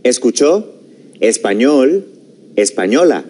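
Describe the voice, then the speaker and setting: A man with a Venezuelan accent presents a TV Spanish course, speaking clearly and deliberately in a studio. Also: medium pitch, sing-song, dark tone, slight background noise. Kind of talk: scripted educational presenting.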